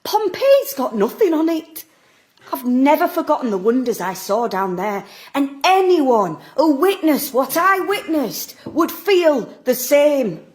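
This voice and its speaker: A woman's voice: high voice, big pitch swings, measured pace, darkish tone. A woman playing a passionate, combative middle-aged local campaigner in an audio drama. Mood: insistent, passionate. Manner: combative, sarcastic, emphatic. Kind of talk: stage-like audio drama acting.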